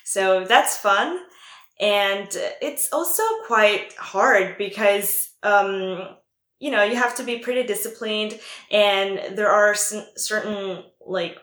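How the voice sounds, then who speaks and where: A young woman from Italy speaks with an Italian accent that carries an American tinge. She answers casually, filling pauses with little fillers. Her voice sits at a middle pitch and rings with room echo. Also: a bit bright. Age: young adult. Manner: chatty, many fillers like "um" and "like". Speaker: guest, former exchange student.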